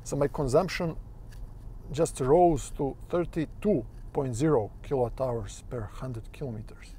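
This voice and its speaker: Slow, rambling man. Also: mid-range voice, warm tone, slight background noise. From Croatia. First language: Croatian. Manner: loose, hesitant, full of fillers and restarts, swears casually. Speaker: car owner reviewing his new car. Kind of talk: rambling casual car review while driving. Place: inside a car.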